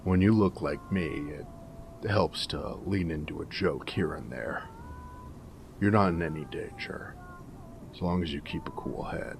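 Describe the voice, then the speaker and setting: Very deep, gravelly snarky ghoul. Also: male voice, a little slow, a little hiss or hum, exaggerated voice. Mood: teasing, friendly. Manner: wry, sardonic, joking, drawling. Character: snarky, friendly male ghoul wasteland companion, weathered and irradiated. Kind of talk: character roleplay audio drama.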